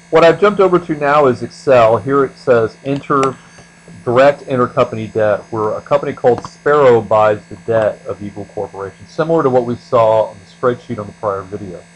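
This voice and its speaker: A man giving an explanatory screencast lecture as an instructor, speaking deliberately and letting the ends of phrases trail off. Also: mid-range voice, poor audio. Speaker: accounting instructor. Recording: steady mains hum behind voice.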